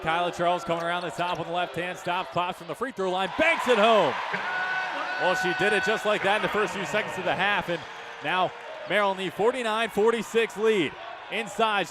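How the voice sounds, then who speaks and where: A man doing live radio basketball play-by-play, projecting excited, rapid-fire calls. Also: high-pitched. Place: courtside in a basketball arena. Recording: loud arena crowd noise behind. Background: crowd, bouncing ball.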